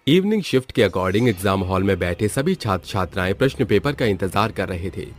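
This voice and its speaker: A man narrating a cartoon story in an even, scripted storytelling way. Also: lowish voice, a little fast. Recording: background music.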